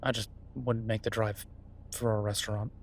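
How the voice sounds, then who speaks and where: A man's voice: lowish voice, flat delivery, normal speed, gravelly. A man acting as an easygoing chef talking to a partner in a naturalistic audio roleplay, conversational, hesitant and wry. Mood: wry, amused. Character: Beau, easygoing male chef, reassuring his werewolf partner. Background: ambient sound effects.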